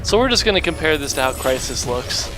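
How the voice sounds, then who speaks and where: A man's voice: a little high, expressive, brisk. A man doing casual, joking gaming commentary in a sarcastic, profane way. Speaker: Let's Play co-commentator. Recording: music playing behind the voice. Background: video game music.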